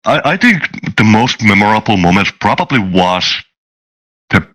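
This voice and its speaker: A man's voice: on the low side, very animated, unhurried, fairly bright tone. A man telling game-master anecdotes casually on a podcast, circling back and repeating phrases as he goes.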